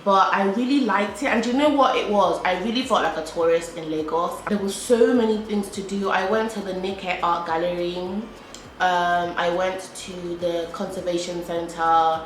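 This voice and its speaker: A woman's voice: on the low side, normal speed. A young British woman chatting to camera in a casual vlog while eating, talking in a loose, repetitive way full of "like". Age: young adult. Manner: chatty, repeats herself, frequent "like". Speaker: vlogger. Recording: echoey room with faint background hum.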